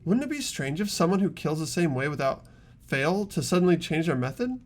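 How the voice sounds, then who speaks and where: Guy doing a polite earnest teen boy detective in a game, kinda high voice, explains stuff carefully. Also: very animated. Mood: reasoning, firm. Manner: deliberate, explanatory. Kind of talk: amateur game voice acting.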